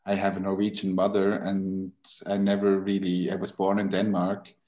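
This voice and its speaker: A man in his thirties talks casually on a video call, often restarting his sentences. His fairly low voice carries a noticeable roomy echo from the book-lined room. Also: monotone, moderate pace, darkish tone. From Switzerland. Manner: hesitant, many restarts and fillers. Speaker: book designer. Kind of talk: casual chat. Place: room with bookshelves.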